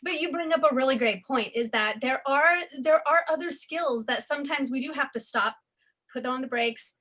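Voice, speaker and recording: A woman's voice: high-pitched, moderate pace, sharp tone. A woman hosting an interview about a college media program, speaking in an enthusiastic, promotional way. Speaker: program host.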